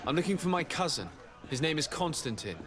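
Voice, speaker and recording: A man's voice: fairly high pitch, very animated, a little fast, background noise. A man playing a courteous, inquisitive young nobleman envoy in video game dialogue, polite, formal and composed. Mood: courteous, concerned.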